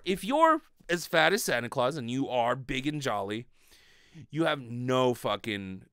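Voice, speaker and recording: Male comedy host, incredulous ranter, very lively intonation, dry close-miked home recording. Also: mid-range voice, clean tone, no room sound. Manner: incredulous, ranting. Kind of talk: casual comedic commentary with swearing. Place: small home bedroom. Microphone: desk microphone with pop filter, close.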